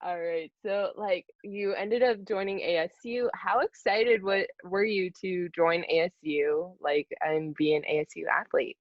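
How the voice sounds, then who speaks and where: A woman's voice: lowish voice, a little slow, hardly any pauses, dry recording, highs cut off. A young American woman co-hosting a podcast, asking questions in casual, informal talk with plenty of "like". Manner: informal, frequent "like". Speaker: podcast co-host, interviewer. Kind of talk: casual chat.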